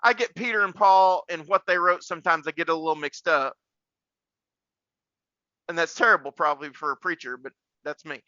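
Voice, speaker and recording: A man's voice: a little high, fairly quick, sharp tone, dry recording, lo-fi sound. A man hosting a casual faith podcast like a preacher, rambling and repeating words for emphasis.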